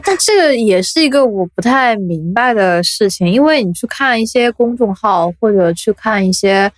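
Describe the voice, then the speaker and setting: A woman podcast host chatting casually in a blunt, expressive, animated way. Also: medium pitch, moderate pace, no echo. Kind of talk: casual chat.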